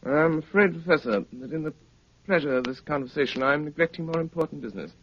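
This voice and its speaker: An actor playing an unflappable gentleman detective with a dry, politely ironic manner, in 1930s radio drama style. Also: fairly high pitch, expressive, fast. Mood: calm, wry. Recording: muffled, hissy 1930s radio recording.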